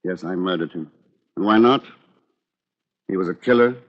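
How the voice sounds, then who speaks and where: An actor playing a coldly arrogant solicitor in a vintage radio thriller, formal, articulate and sardonic, on an old radio recording. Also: male voice, sits low, normal speed, muffled recording. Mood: cold, proud. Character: coldly arrogant English solicitor confessing to murder. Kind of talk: 1940s radio thriller acting.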